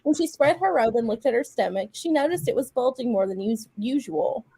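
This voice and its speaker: High-voiced woman reading aloud. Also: expressive, a little fast, mellow, breathy edge, rough recording. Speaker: guest reader on a live show. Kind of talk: dramatic reading aloud mixed with casual banter.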